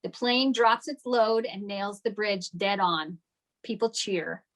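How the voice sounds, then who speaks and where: Medium-pitched woman reading stage directions. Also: slow. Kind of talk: scripted narration at a table read.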